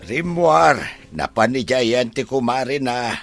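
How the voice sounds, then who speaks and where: A man's voice: mid-range voice, lively intonation, slow, vocal fry, music in the background. A man playing a kindly, easygoing older father in a radio drama, with an Ilocano accent. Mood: gentle.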